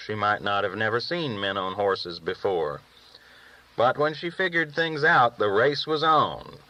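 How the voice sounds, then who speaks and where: A man's voice: fairly low pitch, measured pace, bright, forceful tone. A man reading a novel aloud as a first-person storyteller, with folksy rural phrasing, on a dull recording. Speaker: audiobook reader.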